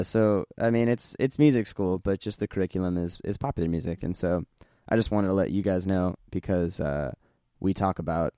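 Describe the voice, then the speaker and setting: A young man with a low voice hosts a podcast in a rambling, self-deprecating way. He fills his talk with ums and uhs. The sound is close and dry. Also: mellow, no echo. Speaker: podcast host. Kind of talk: casual podcast chat. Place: practice room.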